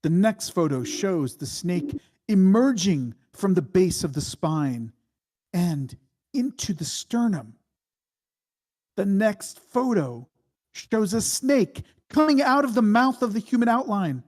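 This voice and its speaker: A man reading screenplay stage directions as dramatic narration, in a deliberate, suspenseful way. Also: sits high, lively intonation, darkish tone.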